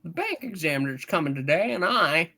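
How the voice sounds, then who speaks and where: A woman's voice: lowish voice, sing-song, a little fast, bright, forceful tone, exaggerated voice. A woman voicing an earnest, harried young businessman, anxious and determined, in a hammed-up radio play. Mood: alarmed.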